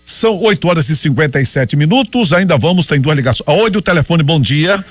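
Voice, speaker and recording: A man hosting a radio call-in show, greeting callers briskly and putting questions to the doctor. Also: a little high, no room sound. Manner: lively, brisk, friendly to callers. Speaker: radio host. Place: radio studio.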